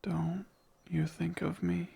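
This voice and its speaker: A man playing a jealous, devoted demon in an intimate ASMR romance roleplay, speaking ardently and deliberately in a breathy, gravelly voice. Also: fairly high pitch, flat delivery, warm tone, heavily acted. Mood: possessive. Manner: slow, deliberate, ardent, possessive. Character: jealous, devoted male demon confessing love.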